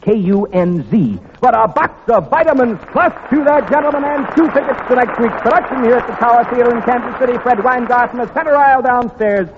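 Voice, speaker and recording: A man's voice: very high-pitched, lively intonation, fairly quick, few pauses, background noise. An American man hosting a 1940s radio quiz in a theater, announcing formally and precisely in a showman's way. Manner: crisp formal showman, repeats and spells out items precisely. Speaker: quiz show host. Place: large theater before an audience. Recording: muffled 1940s radio recording, long theater reverb.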